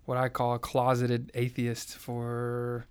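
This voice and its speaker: A man's voice: mid-range voice, completely flat delivery, slow pace, clear, resonant tone. A young man from the South, earnestly and reflectively telling his faith story in an interview. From American South. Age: young adult. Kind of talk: personal testimony in conversation.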